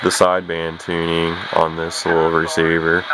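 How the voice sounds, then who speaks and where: A man talking casually about his radio hobby as he records at home, rambling and self-deprecating, with lots of fillers and halting restarts. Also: deep voice, monotone, a loud crowd, low-quality recording.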